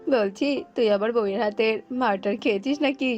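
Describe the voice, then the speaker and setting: A woman's voice: on the high side, fairly quick, a little mellow, lo-fi sound, natural voice. A woman voicing a bossy male crow farm owner in a cartoon, brusque and condescending. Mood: advising. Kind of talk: cartoon voice acting. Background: music.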